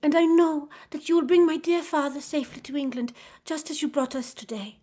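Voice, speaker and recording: A woman voicing a trusting young French noblewoman in a storybook, earnest and soft, with a French accent. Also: sits high, a little fast, voice pushed up, natural voice. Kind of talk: dramatized storybook reading.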